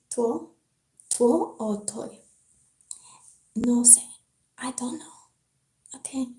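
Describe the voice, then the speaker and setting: A woman with a French accent speaks slowly in a slightly high, soft, mellow voice. She chats with her live-stream audience in an easygoing way and reads a book aloud. The sound is roomy and echoey, picked up through a home setup. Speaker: livestreamer reading a novel aloud. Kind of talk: casual live-stream chat and book reading. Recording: roomy echo.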